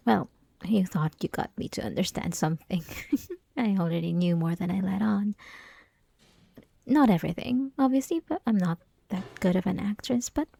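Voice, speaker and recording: Woman acting a soft, high-voiced clingy yandere schoolgirl. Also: creaky. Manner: coy, teasing, pleading, halting, often dropping to whispers. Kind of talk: ASMR roleplay, intimate scripted monologue.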